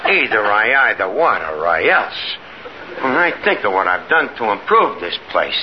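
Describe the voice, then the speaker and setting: A man's voice: lowish voice, big pitch swings, normal speed. A man playing a brash, scheming, wisecracking tavern manager in a 1950s radio comedy, slangy with pompous big words. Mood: irritated, blustering. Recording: noisy, muffled old radio recording.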